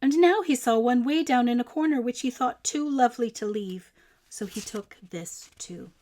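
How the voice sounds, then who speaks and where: Female picture-book narrator, higher register, expressive and emphatic for young listeners. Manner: expressive, emphatic, deliberate phrasing for children. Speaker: storyteller. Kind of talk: picture book read-aloud.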